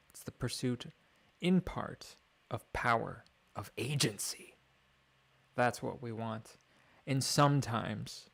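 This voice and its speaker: A young man streaming alone, thinking out loud in a wry, mock-grand way. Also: medium pitch. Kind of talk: rambling livestream monologue.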